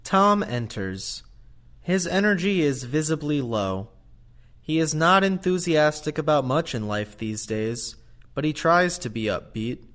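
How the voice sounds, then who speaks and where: A man's voice: fairly high pitch, expressive, measured pace, a bit bright. A man narrating scripted stage directions for an audio play.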